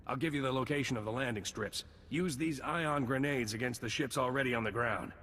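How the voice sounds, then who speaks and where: An actor playing a dutiful male officer in a video game, gravelly and matter-of-fact. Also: medium pitch, fairly quick, a bit bright, big-room sound, a little hiss or hum, lightly acted. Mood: businesslike. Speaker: military officer. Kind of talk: game voice acting.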